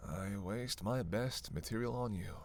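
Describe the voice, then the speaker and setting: A creaky, gravel-edged male vampire played by a man in a roleplay audio drama. He talks casually and sarcastically, teasing and cursing as he goes, always playfully out for himself. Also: on the low side, a little slow, darkish tone, a little hiss or hum. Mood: wry explaining. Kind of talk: roleplay audio drama, one-sided conversation with listener.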